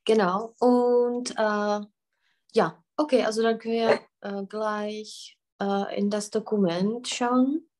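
A woman teaching a language on a call, patient and encouraging. She keeps prompting and gently correcting, with frequent short acknowledgments. Her voice sits at an ordinary mid pitch. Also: fairly even intonation, slow. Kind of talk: online language lesson.

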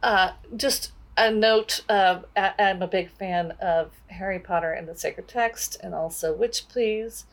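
A woman's voice: mid-range voice, a little slow, hardly any pauses. A woman co-hosting a conversational book discussion, thinking aloud with hesitant, thoughtful phrasing. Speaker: podcast co-host.

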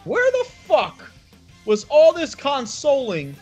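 A man's voice: very high voice, huge pitch swings, slow pace, a little mellow, muffled recording, faint music behind, pitched above the performer's own voice, exaggerated voice. A man voicing an exasperated, spurned husband in an indignant, cursing rant.

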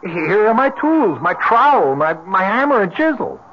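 A flustered repairman in a vintage radio play, stammering in bewilderment. His high voice jumps around in pitch, over a noisy, muffled old recording. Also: big pitch swings, moderate pace. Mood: bewildered, agitated. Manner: stammering, hesitant, agitated. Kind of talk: old-time radio drama acting.

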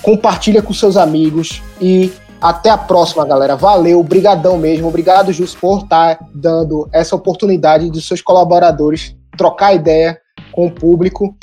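Brazilian Northeastern male host, mellow tone, lively and explanatory. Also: on the high side, normal speed, breathy edge, faint music behind. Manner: upbeat, explains step by step and prompts guests. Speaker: podcast host. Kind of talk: casual podcast chat.

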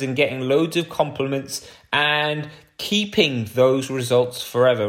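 A man, a podcast host reading an upbeat show intro. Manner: upbeat pitch-style delivery.

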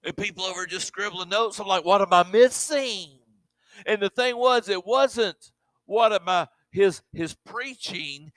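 A man preaching a sermon, describing his own voice as monotone, often repeating words as he restarts. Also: sits high, a little slow, dry recording, low-quality recording. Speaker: pastor.

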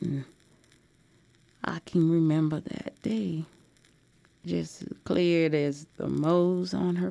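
A woman voicing a curious, tender young granddaughter, telling her story in hushed, wondering dialect. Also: sits low, gravelly, no echo, exaggerated voice. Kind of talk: dramatic audio storytelling.

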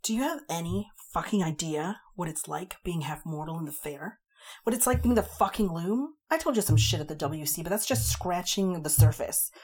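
Read by a woman with a low, husky voice, a furious half-fairy warrior woman, cursing and shouting her rage. Her husky voice climbs high and loud as she rants. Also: steady tone, normal speed. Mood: ranting.

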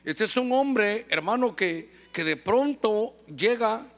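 High-voiced male preacher, lively and joking, acts out little scenes. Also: takes it slowly, creaky. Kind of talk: sermon.

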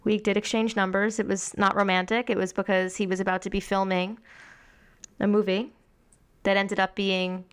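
A woman's voice: medium pitch, level tone, normal speed, clean tone. A woman co-hosting a podcast, chatting casually and reading tweets aloud with laughs. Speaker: podcast co-host. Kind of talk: casual chat reviewing a reality show.

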